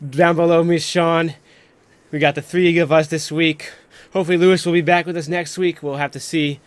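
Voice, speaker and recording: A man's voice: a little high, flat delivery, a little fast, smooth, no echo. A man hosting a casual live stream chat, talking in a rambling, filler-heavy way with lots of "like". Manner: rambling, peppered with "like". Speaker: host of a live stream panel.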